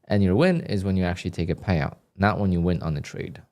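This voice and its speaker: A man doing live commentary on his trading session, talking to viewers in a flat, dry way that sounds a bit annoyed even when he is content. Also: low-pitched, fast, vocal fry. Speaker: day trader livestreamer. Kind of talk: live stream commentary, reading donations.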